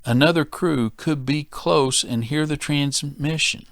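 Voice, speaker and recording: A man's voice: on the high side, measured pace, fairly bright tone, dry recording. American man teaching, dry close sound. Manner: emphatic, repeats key phrases for stress. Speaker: safety training instructor. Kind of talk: instructional training talk.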